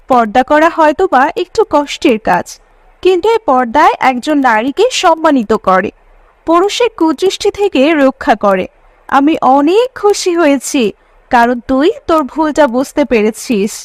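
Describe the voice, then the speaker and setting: Very high voice, close dry sound, pious moralising girl, played by a woman, young, cartoon. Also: very animated, moderate pace, no room sound. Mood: earnest, approving. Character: pious, scolding young college girlfriend Mariam. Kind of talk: Bengali moral story cartoon dialogue.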